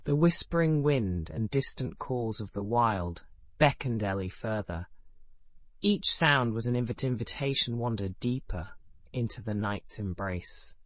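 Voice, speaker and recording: A man narrating a scripted bedtime story in a calm, measured way, his sentences falling away at the ends. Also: medium pitch, dry recording.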